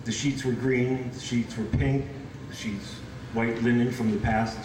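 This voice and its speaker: A man reads poetry aloud and speaks informally around it. His voice sits at a middle pitch, heard from a distance in an echoey room with noticeable background noise. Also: little pitch movement, measured pace. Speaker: poetry reader. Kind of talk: poetry reading with informal asides. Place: indoor bookshop event in front of audience.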